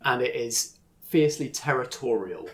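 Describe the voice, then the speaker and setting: A man running a tabletop role-playing game, explaining things in a measured way and pausing to pick his words. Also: medium pitch, expressive, a bit bright. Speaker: game master.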